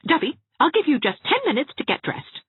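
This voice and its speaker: A woman voicing a brisk, exasperated young woman in an audiobook, sharp, insistent and scolding. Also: sits high, very animated, no echo, pitched above the performer's own voice. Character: brisk, sarcastic, exasperated young woman, a bossy loyal friend. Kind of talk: audiobook character voice. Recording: thin phone-like narrow-band sound.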